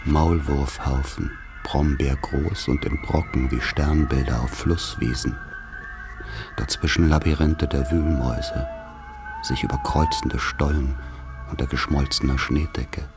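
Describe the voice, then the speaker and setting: An actor in a radio play playing a grave man who recalls a dark rural childhood, speaking in solemn, measured, poetic phrases with a husky voice. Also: very deep voice, steady tone, music in the background. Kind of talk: literary radio play monologue.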